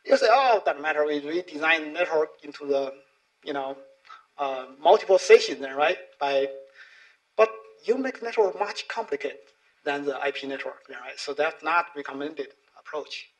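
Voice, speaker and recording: A man's voice: a little high, lively intonation, normal speed, low-quality recording. A middle-aged man, a native Chinese speaker with a Chinese accent, giving an informal, explanatory conference talk in non-native English, often checking in with short tag questions.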